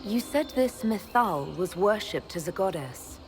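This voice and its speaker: A woman's voice: medium pitch, expressive, measured pace. An actress playing a composed, inquisitive female leader in a video game, talking plainly and directly. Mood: curious. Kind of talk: video game dialogue acting. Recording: game music behind the voice.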